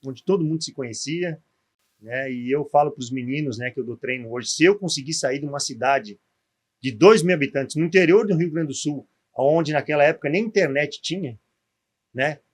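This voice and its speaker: Gaúcho man, 40s, ex-footballer guest, slightly high voice, chatty storyteller. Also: normal speed. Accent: gaúcho Portuguese. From southern Brazil. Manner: storytelling, frequent tag questions. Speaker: guest, former pro footballer. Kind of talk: podcast interview.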